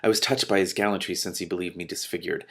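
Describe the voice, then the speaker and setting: A man's voice: low voice, fairly even intonation, fast talker, a bit bright, slightly rough. A man reading a story aloud expressively, dropping in his own dramatic, spontaneous reactions as he goes. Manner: dramatic, reacts in whispers and exclamations. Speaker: host reading a novel aloud with commentary.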